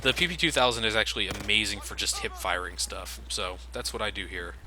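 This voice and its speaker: A man's voice: fairly low pitch, fairly quick, background noise. A man giving casual, explanatory game commentary with plenty of swearing. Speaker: let's play commentator. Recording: loud game gunfire behind voice. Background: video game gunfire and action.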